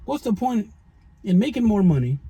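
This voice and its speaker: A middle-aged man with a gravelly, husky voice gives relationship advice in a casual chat. His tone is very dark and a little high for a man. He talks folksy and preachy, speaking straight to the viewers as y'all. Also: very animated, moderate pace, no echo. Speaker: co-host of a relationship advice show.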